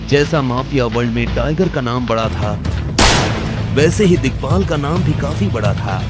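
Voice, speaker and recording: A man narrating an audio drama in a scripted, dramatic storytelling style. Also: medium pitch.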